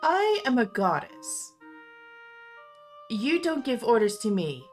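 Lady playing proud goddess in fantasy drama, measured n slow, bit defensive, music behind. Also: on the high side, big pitch swings. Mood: haughty. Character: proud goddess sharing a mortal's body, defensive. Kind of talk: amateur fantasy audio drama acting. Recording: music bed under voice.

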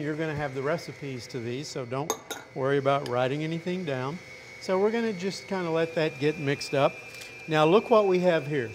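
A middle-aged man, a chef, talking through a recipe in a relaxed, chatty way in a restaurant demo kitchen. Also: on the high side, a little hiss or hum. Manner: relaxed, chatty step-by-step explaining. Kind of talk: cooking demonstration.

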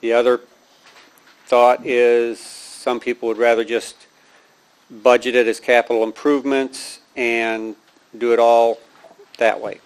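A man's voice: medium pitch, level tone, unhurried, long pauses, smooth. A man giving a committee report to a county board, plain-spoken and hesitant with many ums, in a large meeting room.

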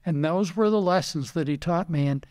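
Older man, American accent, fairly high voice, casual interview reminiscing, anecdotal storyteller, quotes old conversations. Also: normal speed, a little mellow. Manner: anecdotal storytelling, acting out remembered dialogue. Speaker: retired racing driver and team owner, interview guest.

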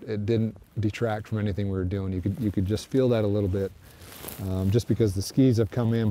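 A middle-aged man talks outdoors in the snow in an easygoing, halting way, often restarting his sentences. His voice is fairly low and very mellow. A faint hiss of outdoor noise sits under it. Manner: relaxed, halting, many restarts and fillers. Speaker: snowmobile magazine reviewer. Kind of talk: casual first-ride review to camera. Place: outdoors on snowy flat by trees. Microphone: camera framed from a distance, seated on a snowmobile.

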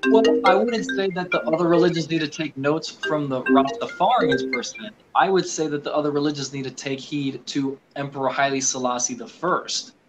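A man on a video call explaining his views in an earnest, quick way with many fillers, from a room with bookshelves. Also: a little high, music in the background, rough recording. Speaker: guest on a live video call. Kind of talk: casual discussion.

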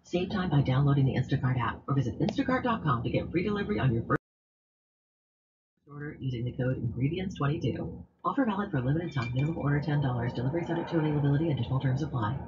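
A woman reading a scripted commercial voice-over, brisk, upbeat and chatty. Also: sits low, lively intonation, highs cut off, background noise.

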